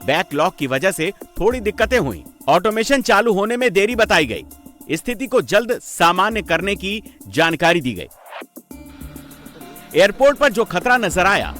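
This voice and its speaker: Male news voice-over, higher register, lively intonation, emphatic scripted report delivery over a music bed.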